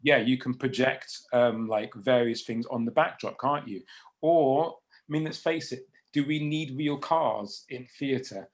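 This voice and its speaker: A man's voice: medium pitch, measured pace. A man hosting a panel discussion in a chatty, informal, conversational way.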